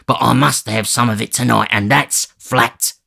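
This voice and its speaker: A man voicing a brutal, surly male housebreaker who growls his words gruffly and forcefully in a storybook reading. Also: medium pitch, steady tone, normal speed, voice pushed up, exaggerated voice. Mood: demanding. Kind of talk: audiobook character reading.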